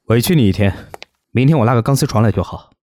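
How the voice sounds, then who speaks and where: A man playing a courteous, protective eldest brother in an audio drama, speaking calmly and earnestly. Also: mid-range voice, quick pace, lightly acted. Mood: courteous, composed. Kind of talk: Chinese audio drama acting.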